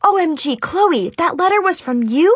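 A woman voicing a sarcastic, excitable schoolgirl in a storybook, with a gushing, exaggerated way of talking. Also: sits high, unhurried, no room sound, pitched above the performer's own voice, exaggerated voice. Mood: shocked. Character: dramatic, sarcastic, excitable schoolgirl telling her own story.

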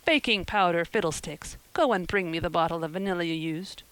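A woman's voice: on the low side, fairly bright tone, smooth, no echo, lightly acted, low-quality recording. Woman doing a blunt, stern older guardian woman. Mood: exasperated. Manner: brisk, scolding, blunt.

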